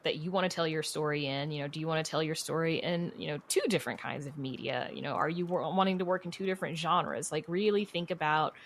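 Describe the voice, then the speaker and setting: A woman with a notably low voice talks casually as an interview guest. She gives advice in a candid, encouraging way, leaning often on "you know" as she goes. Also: fairly even intonation, fast, hardly any pauses, slight background noise. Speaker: podcast guest, writer and producer.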